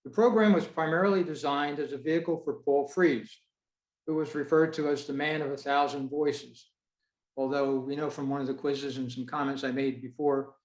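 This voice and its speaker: Man presenting in a roomy, echoey space. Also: mid-range voice. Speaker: host of an online club meeting, presenter. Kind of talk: informal spoken presentation from notes. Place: indoors on a video call. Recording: roomy, echoey video-call audio.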